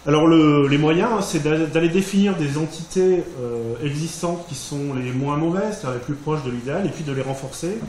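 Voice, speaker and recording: A man's voice: on the high side, a little fast, few pauses, a little mellow. French man presenting in an echoey room. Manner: thinking aloud, many fillers and restarts. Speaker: workshop participant presenting group results. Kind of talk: informal presentation. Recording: echoey room, faint background hiss.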